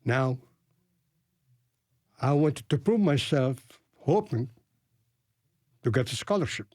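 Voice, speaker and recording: Old guy with an arabic accent, telling his life story in an interview, deliberate, dry close sound. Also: medium pitch, lively intonation, a little mellow, dry recording. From Palestine, grew up in Lebanon. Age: 80s.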